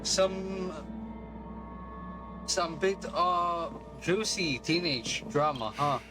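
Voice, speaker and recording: A woman's voice: fairly low pitch, extremely slow. A woman streamer giving casual game reaction commentary, with slangy, exclamatory reactions. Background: game music.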